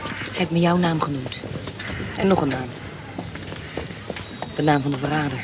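A woman's voice: deep voice, moderate pace, natural voice. An actress playing a bold, cunning noblewoman and former soldier in a radio drama, speaking in a terse, insistent, commanding way. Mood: intense. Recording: heavy background noise.

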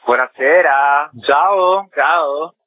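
A man's voice: fairly high pitch, very slow, no echo. A man speaking as an interview guest, explaining a project in a chatty way that seeks agreement. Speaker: festival organiser, interview guest. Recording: tinny phone line, dry.

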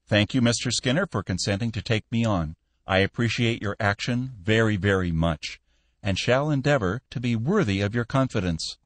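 A man's voice: lowish voice, normal speed, no echo, lightly acted. A man reading an audiobook, voicing a cheerful young male war veteran looking for a job, earnest and upbeat.

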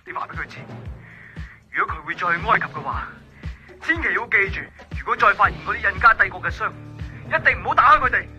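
A woman's voice: mid-range voice, expressive, fast, sharp tone, faint music behind. An actress playing a distraught, pleading young woman in hiding, in a Cantonese radio drama with a Hong Kong accent. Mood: urgent warning.

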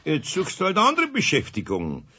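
An actor playing a good-natured older master carpenter with a Bavarian accent and an easygoing, mildly grumbling manner in a children's radio play. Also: male voice, sits high, wildly swooping pitch, moderate pace, background noise. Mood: placid, bemused. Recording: muffled 1970s radio recording.

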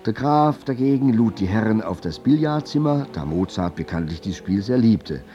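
An actor playing an urbane narrator of a literary radio drama, measured and wry. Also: male voice, fairly low pitch, expressive, warm tone, highs cut off, close to an everyday voice. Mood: composed, wry.

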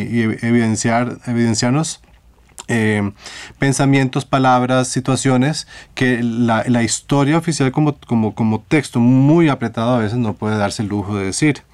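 Radio guest, male, Costa Rican accent, medium pitch, reflective and halting, circling back over his phrases. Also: a little mellow. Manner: halting, searching for words, repeating phrases. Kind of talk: reflective discussion in a radio interview.

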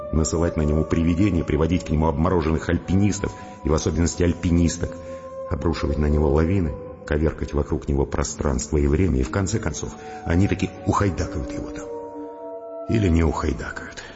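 A defeated, bitterly reflective scientist with an extremely deep voice. His sombre audio drama delivery rings in long reverb, with music behind it. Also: quick pace, highs cut off. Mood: bitter, brooding. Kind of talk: audio drama acting and first-person narration.

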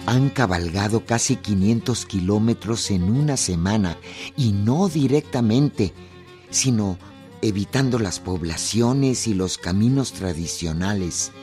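A man's voice: lowish voice, darkish tone. A man narrating a scripted historical radio drama.

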